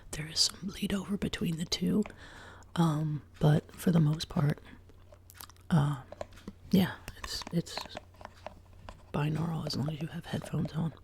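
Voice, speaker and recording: A woman hosting a soft-spoken ASMR chat, sick and sounding lower than usual, drifting between quiet speech and whispers in her home studio. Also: vocal fry. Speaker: ASMR channel host. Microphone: binaural desk microphone.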